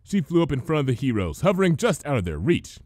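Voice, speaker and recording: Very animated male storyteller, higher-pitched. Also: fast talker, dry recording, clean studio sound. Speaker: fan fiction reader, narrator. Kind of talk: animated scripted story narration.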